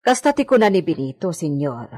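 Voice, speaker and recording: A woman with a Filipino accent acting a deferential, practical village household worker in a radio drama, expressive and coaxing. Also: fairly low pitch, brisk.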